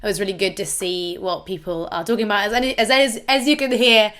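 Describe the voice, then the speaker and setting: A woman co-host with a hoarse, croaky voice, chatting in a lively way. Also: medium pitch, brisk. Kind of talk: casual chat.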